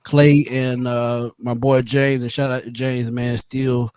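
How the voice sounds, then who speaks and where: A young man co-hosting a sports podcast, joking loosely and giving shout-outs. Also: mid-range voice, flat delivery, slow pace, clean tone. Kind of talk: casual sports chat.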